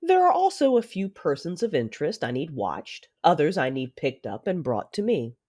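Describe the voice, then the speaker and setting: A woman playing a commanding, coolly menacing Sith lord in an audio drama, speaking in a measured, imperious, formal way. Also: deep voice, big pitch swings, a little mellow.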